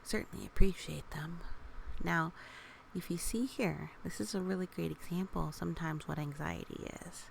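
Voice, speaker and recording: A woman reading a picture book aloud for young children, with gentle, expressive, chatty asides and questions. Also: lowish voice, moderate pace, gravelly, a little hiss or hum.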